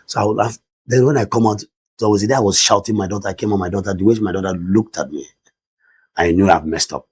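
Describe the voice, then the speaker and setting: A middle-aged Nigerian man with a Nigerian English accent and a loud voice, talking emphatically in a studio discussion. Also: lowish voice, quick pace, husky. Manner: emphatic, excitable, rises sharply when stressing points. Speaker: discussion panelist.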